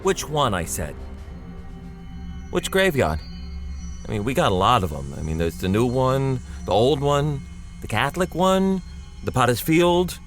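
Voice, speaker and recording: An actor playing a talkative, wary, working-class cab driver in a radio drama, with slangy, blunt, folksy phrasing. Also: male voice, medium pitch, big pitch swings, unhurried, long pauses, faint music behind. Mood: puzzled. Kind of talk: old-time radio drama acting and narration.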